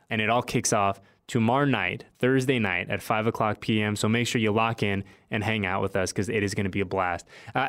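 A man's voice: mid-range voice, fairly bright tone. Sports podcast host: American male, mid-range voice, enthusiastic and chatty interviewer. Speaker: team show host and interviewer. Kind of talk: upbeat sports podcast talk.

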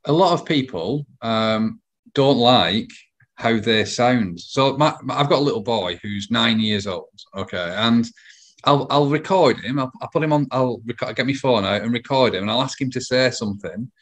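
A man's voice: medium pitch, moderate pace. A middle-aged man interviewing in a casual chat, repeating words tentatively as he thinks.

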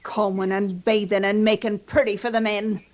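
An actress playing a bitter, hard-bitten older frontier laundress with a rural American accent, scolding and sarcastic in an old-time radio drama. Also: female voice, mid-range voice, level tone, moderate pace, a little mellow, natural voice. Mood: scornful.

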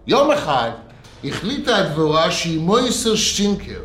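A man's voice: a little high, sing-song, fairly bright tone, roomy sound, a little hiss or hum. A man playing a sinister, sanctimonious rabbi who makes threats through parables, telling them in a theatrical, menacing, mock-pious way. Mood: storytelling.

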